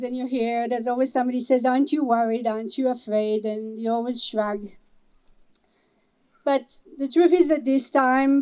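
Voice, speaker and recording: A middle-aged woman with an Israeli accent gives an earnest, halting speech as an organizer at a vigil. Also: on the high side, mellow, smooth, rough recording. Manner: earnest, halting, with restarts and pauses.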